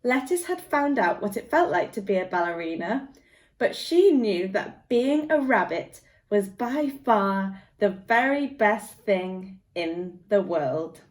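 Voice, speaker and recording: Slow-paced woman reading a children's storybook. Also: mid-range voice, expressive, darkish tone.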